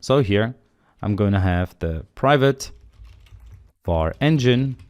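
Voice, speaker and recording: A man's voice: on the low side, animated, slow, darkish tone, no room sound. A man teaching a coding tutorial, steadily explaining each step aloud while working through the code. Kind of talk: screen-recorded coding walkthrough.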